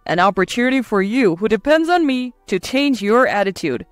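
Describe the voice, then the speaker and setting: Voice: medium pitch, very animated, moderate pace, smooth, no echo, natural voice. A performer voicing a domineering, irritable husband in a narrated story, scolding and commanding with contempt. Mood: contemptuous, commanding. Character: domineering, irritable, sneering husband berating his wife. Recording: dry close voice over faint music.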